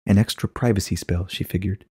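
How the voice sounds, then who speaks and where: A man's voice: deep voice, fairly even intonation, brisk, mellow, breathy edge. A man narrating a short story as a scripted dramatic reading.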